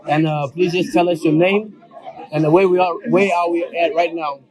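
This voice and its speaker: Casting: young male church interviewer, slightly high voice, polite and deferential, background crowd chatter. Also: steady tone, moderate pace. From United States. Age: young adult. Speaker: interviewer, church ministry host.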